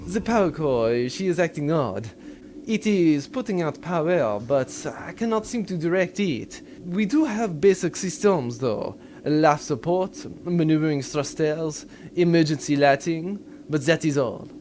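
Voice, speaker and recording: A man's voice: on the high side, animated, a little slow, a little mellow, a little hiss or hum. A man playing an earnest starship engineer in a comic audio drama, with a French accent and formal, precise wording. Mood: matter-of-fact.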